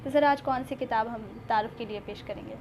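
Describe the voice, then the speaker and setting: A woman hosting a literary talk show, speaking politely and in measured phrases. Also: fairly high pitch, a little mellow. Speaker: host. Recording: clearly noisy background ambience.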